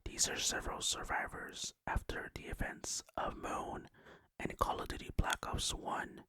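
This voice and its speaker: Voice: a little slow, sharp tone. A gaming video host narrating in ASMR style, whispering throughout. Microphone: close, dry microphone.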